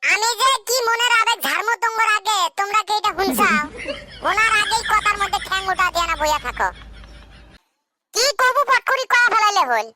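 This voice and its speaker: Very high, squeaky cartoon village bachelor. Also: male voice, lively intonation, brisk, heavy background noise. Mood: eager, whiny. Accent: northern Bangladeshi. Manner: colloquial, teasing, complaining. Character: Kadam Ali, cheeky young village bachelor in a comedy cartoon. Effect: pitched-up cartoon voice. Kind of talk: comic cartoon dialogue.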